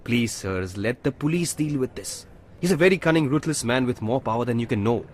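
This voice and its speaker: A mid-pitched man an actor as a stern radio-play inspector. He talks curtly with sardonic menace and a lively, varied delivery, over faint ambient noise. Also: fairly quick, natural voice. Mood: stern, warning. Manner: curt, warning, sardonic. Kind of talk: radio drama acting.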